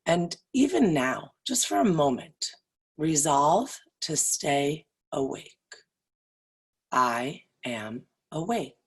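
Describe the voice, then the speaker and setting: A woman leading a guided yoga nidra meditation in a soothing, deliberate way, leaving long pauses between phrases. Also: low voice, big pitch swings, slightly rough.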